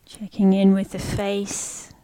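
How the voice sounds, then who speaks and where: A woman leading a guided meditation, speaking gently in short, unhurried phrases with long pauses. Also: mid-range voice, monotone, clean tone, low-quality recording. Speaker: meditation teacher.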